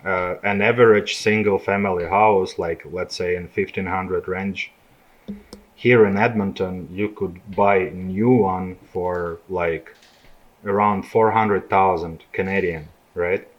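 A man's voice: low-pitched, fairly even intonation, slow, highs cut off. A man in his thirties from Ukraine, speaking with a Ukrainian accent as a guest in a casual podcast chat, explaining things plainly with small hesitations. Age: 30s.

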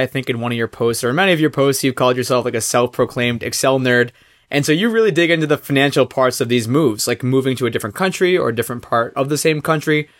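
Quick-talking male podcast host. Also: medium pitch. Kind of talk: casual interview questions.

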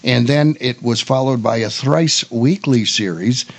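A man hosting a talk show, mixing casual chat with read notes, halting and repeating words. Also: mid-range voice. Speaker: radio show host.

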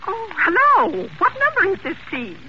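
An actress playing an anxious, complaining middle-aged invalid wife in a 1940s radio suspense drama. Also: female voice, very high voice, wildly swooping pitch, normal speed, muffled recording, heavily acted. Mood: alarmed, insistent. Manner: fretful, complaining, insistent. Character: anxious, fretful invalid wife alone at home. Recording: noisy, band-limited old radio recording.

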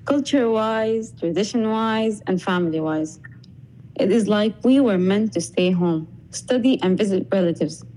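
A young woman from Sudan appears as a podcast guest. Her voice is warm and mellow at a mid pitch, and she reads prepared lines in a reflective manner. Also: normal speed, rough recording. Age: young adult. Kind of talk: scripted podcast conversation.

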